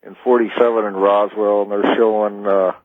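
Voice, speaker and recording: An American man calling a radio show, talking casually in a husky voice over a tinny, narrow telephone line. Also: on the low side, level tone, moderate pace, no room sound. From California, United States. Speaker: radio call-in caller. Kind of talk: casual chat.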